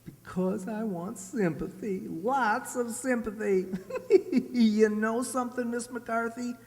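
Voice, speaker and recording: A man's voice: very high voice, slow pace, heavily acted. Man acting a blustering jealous radio-comedy husband, echoey hall. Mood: smug, gleeful. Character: jealous, blustering husband playing sick for sympathy. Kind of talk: old-time radio sitcom acting.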